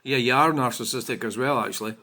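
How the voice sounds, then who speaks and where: A Scottish man rants on his own channel in a bright, forceful voice. He talks indignantly and swears freely, padding his lines with "you know" and "I mean" as he picks apart viewer comments. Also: mid-range voice, fast talker. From Scotland. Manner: indignant, profane, full of fillers like "you know". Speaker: channel host.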